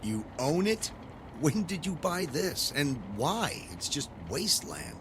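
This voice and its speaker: A man's voice: a little high, big pitch swings, takes it slowly, background noise. An actor playing a skeptical, wisecracking everyman brother, talking in a colloquial, questioning way in a dramatic audio play. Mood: suspicious.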